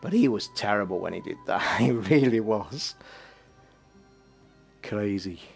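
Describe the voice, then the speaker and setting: A man hosting a casual late-night livestream from his flat, talking in a rambling, blunt way and swearing freely. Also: lowish voice, unhurried, creaky, soft background music. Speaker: livestream host. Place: home flat.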